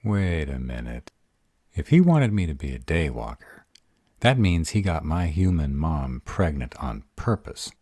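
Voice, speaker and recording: A very low, rough-edged young male vampire, acted by a man, sarcastic and wounded underneath. He drawls slowly, with his pitch swinging about as in an audio roleplay. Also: very animated, a little mellow, no echo, clean studio sound, exaggerated voice. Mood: suspicious, sardonic.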